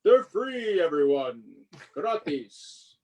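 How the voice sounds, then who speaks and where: An author, perhaps in their thirties or forties, reads their own picture book aloud to children in an animated, expressive way, with asides. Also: medium pitch, very slow. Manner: expressive, sing-song on refrains, commenting between lines.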